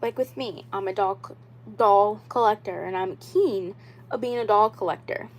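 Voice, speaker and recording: A woman's voice: mid-range voice, normal speed. A young woman in her twenties hosting a casual livestream for her viewers. She talks in an earnest, insistent way, circles back to the same points, and fills her sentences with "like" and "you know.". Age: 20s. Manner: rambling and repetitive, with many "like" and "you know" fillers. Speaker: doll YouTuber.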